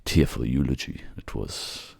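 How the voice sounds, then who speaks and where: A man voicing a grumpy, battered but tender warrior king, gruff, weary and halting, in a fantasy audio drama. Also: rumbling low, fairly even intonation, darkish tone, heavily acted. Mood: fond.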